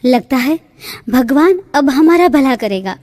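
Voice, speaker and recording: A woman's voice: high-pitched, lively intonation, a little slow, darkish tone. A woman playing a poor farmer's anxious wife in a cartoon story. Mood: hopeful.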